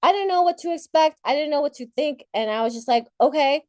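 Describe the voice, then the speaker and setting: A woman's voice: sits high, animated, quick pace, darkish tone, no echo, clean studio sound. A woman from Thailand whose first language is Thai, giving an informal, candid motivational talk about herself in a reflective, conversational way, often rephrasing as she goes. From Thailand, later moved to the United States. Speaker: conference speaker sharing personal story.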